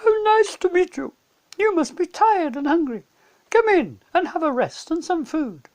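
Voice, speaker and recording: A man's voice: extremely high pitch, big pitch swings, a little slow, darkish tone, voice pushed up, exaggerated voice, rough recording. A man voicing a motherly female beaver, a hospitable hostess in a children's storybook. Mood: welcoming. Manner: fussing, hospitable.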